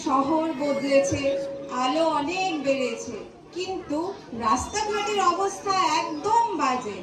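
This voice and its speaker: A woman's voice: high-pitched, animated, slow pace. A woman acting a bossy, loving mother goddess, scolding and commanding her children in an amateur stage play. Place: community stage. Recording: echoey hall, distant mic, music, crowd.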